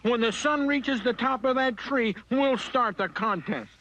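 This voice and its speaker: A man's voice: extremely high pitch, a little slow, dull audio, heavy background noise. A man voicing a small, cocky, scheming male turtle with a wheedling manner in a cartoon story.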